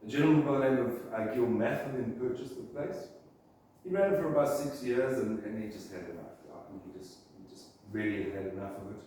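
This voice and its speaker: A man's voice: mid-range voice, brisk, warm tone. Casual rambling South African man, very echoey room, faint hiss. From Zimbabwe. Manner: chatty storytelling, many ums and restarts. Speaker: guest speaker, print shop owner. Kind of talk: casual talk to a business group. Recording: echoey room, from a distant mic, faint noise.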